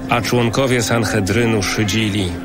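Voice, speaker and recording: A man's voice: fairly low pitch, steady tone, moderate pace. A man giving solemn scripted narration for a scripture drama. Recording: loud music bed behind.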